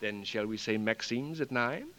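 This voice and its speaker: An actor in a 1940s radio drama playing a cocky, flirtatious aviator, teasing, breezy and wry. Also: male voice, medium pitch, normal speed, pushed and bright, lightly acted. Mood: smug. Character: cocky, flirtatious male aviator fresh out of jail. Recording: 1940s radio broadcast, music behind.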